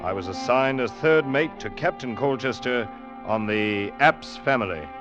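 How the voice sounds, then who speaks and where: An actor playing an earnest young seaman who narrates his past in old radio drama style, with dramatic, deliberate pauses. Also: medium pitch, close to an everyday voice. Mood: reflective. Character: young sailor Ned, earnest third mate, recounting his past. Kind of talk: mid-20th-century radio drama acting and narration. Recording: dull vintage radio recording, loud orchestral music.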